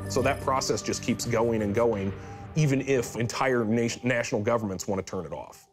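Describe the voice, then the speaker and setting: A man's voice: medium pitch, normal speed, music in the background. A man talking casually and explaining as an interviewed expert.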